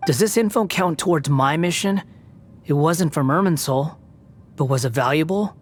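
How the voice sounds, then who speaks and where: An actor playing an aloof, proud young wandering god in a video game, speaking with a cool, dry, mocking delivery. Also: male voice, fairly high pitch, normal speed, slight background noise, close to an everyday voice. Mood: weary. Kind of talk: video game cutscene dialogue.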